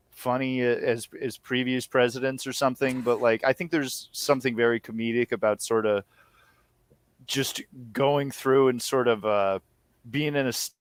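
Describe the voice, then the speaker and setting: Halting man in casual podcast chat. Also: mid-range voice, dry recording. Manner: halting, self-correcting. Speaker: podcast guest, comedy writer and performer.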